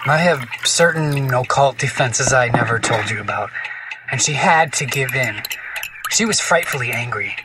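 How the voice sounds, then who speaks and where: A man's voice: mid-range voice, normal speed, recorded from a distance, very noisy, natural voice. A man playing a fragile, tormented husband in an audio drama, jittery and overwrought. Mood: bitter relief. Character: nervous, tormented occultist husband, fragile and frantic.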